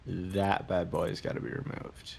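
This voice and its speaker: A man with a deep, gravelly voice chatting over a game with a friend. He teases in a dry, offhand way, his low tone full of fry. A faint hiss runs under the voice. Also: flat delivery, measured pace, a little mellow. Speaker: co-player. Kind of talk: casual gaming chat.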